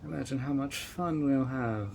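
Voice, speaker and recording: A man's voice: medium pitch, moderate pace, vocal fry, darkish tone, a little hiss or hum, natural voice. A man voicing a handsome, obsessive male fairy who coaxes and dotes in a possessive way, in an audio roleplay. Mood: pleading. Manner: coaxing and doting, turning controlling.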